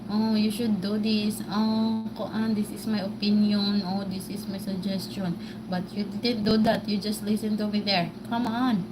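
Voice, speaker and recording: A woman's voice: mid-range voice, level tone, normal speed, music in the background, poor audio. A woman vlogger with a Filipino Bisaya accent, talking live to her viewers in a rambling way, often stopping with filler words and restarting. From Philippines. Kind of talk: live-stream rant to viewers.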